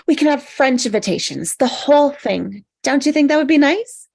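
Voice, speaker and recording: Higher-pitched, earnest maid of honour Annie, played by a woman. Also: animated, normal speed, vocal fry, a little mellow. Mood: eager. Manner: earnest, hesitant. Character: eager, anxious, modest maid of honour Annie. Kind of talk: screenplay table read.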